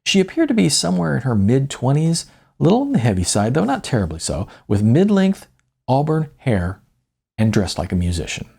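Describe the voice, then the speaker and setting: A man with a gravelly, husky voice reads his own story as an author, phrasing it deliberately with dramatic emphasis. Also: mid-range voice, huge pitch swings, mellow. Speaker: author reading own novella. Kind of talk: audiobook narration, first-person storytelling.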